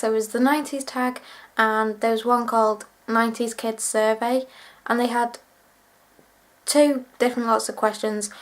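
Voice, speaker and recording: A twenty-year-old woman vlogging to camera, chatting in a rambling, self-deprecating way while sick with a cold and cough. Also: a little high. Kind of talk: casual chat to camera.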